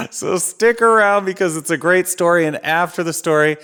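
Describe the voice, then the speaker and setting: A man's voice: sits high, moderate pace, pushed and bright, clean tone. A man reading a picture book aloud to young children as a playful storytime host, talking to them like friends. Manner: playful, chuckling, addressing young listeners as friends.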